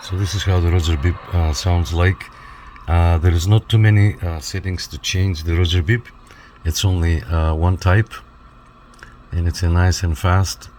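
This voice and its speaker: A man with a low, deep voice demonstrates equipment in a casual review style. He explains things step by step and checks in as he goes. There is clear noise behind his voice. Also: fairly even intonation, moderate pace. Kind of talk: casual hands-on product walkthrough.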